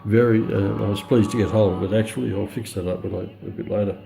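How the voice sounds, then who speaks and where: Middle-aged male demonstrator, low voice, matter-of-fact and halting, recorded indoors with radio audio behind him. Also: steady tone, vocal fry, reverberant, over music. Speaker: presenter of a radio review. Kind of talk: unscripted product demonstration. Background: shortwave radio broadcast playing.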